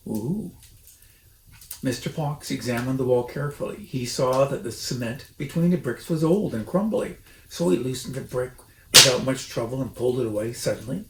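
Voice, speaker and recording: A man reads a storybook aloud in a theatrical, chatty way. His pitch moves around a lot, and the room gives a clear echo with faint noise behind. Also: expressive, normal speed, fairly bright tone. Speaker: storybook reader and host. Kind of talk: expressive read-aloud storytelling with casual asides.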